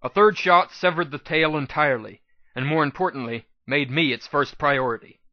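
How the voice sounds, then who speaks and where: A man's voice: a little high, moderate pace, dry recording, muffled recording. A man giving a dramatic first-person scripted narration as the reader of a fan fiction audiobook.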